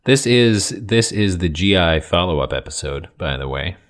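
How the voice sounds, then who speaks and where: A man's voice: deep voice, lively intonation, moderate pace, fairly bright tone. A man co-hosting a podcast in casual chat, chiming in with wry, dry asides. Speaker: podcast co-host.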